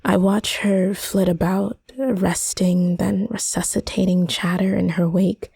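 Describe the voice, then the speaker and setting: A woman reads first-person literary fiction in a dark, husky voice with expressive, deliberate phrasing. She takes her time over each phrase, and the sound is close and dry. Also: medium pitch, dry recording. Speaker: narrator reading a short story.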